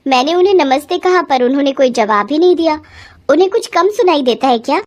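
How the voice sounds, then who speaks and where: A woman's voice: high voice, expressive, brisk. A woman voicing a polite young bride in a cartoon story, who asks a lot of surprised questions. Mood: puzzled. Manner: bright, questioning.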